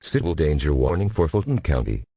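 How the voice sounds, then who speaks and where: A man's voice: a bass voice, sing-song, brisk, noisy background. A man reading a scripted emergency alert in a formal, impersonal way.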